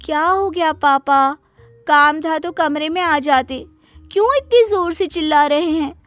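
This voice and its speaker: A woman's voice: sits high, unhurried, a bit bright, dry recording, faint music behind, voice pushed up. A woman voicing a spoiled young daughter in a cartoon story, who talks in a careless, demanding way. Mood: bratty. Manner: bratty, demanding.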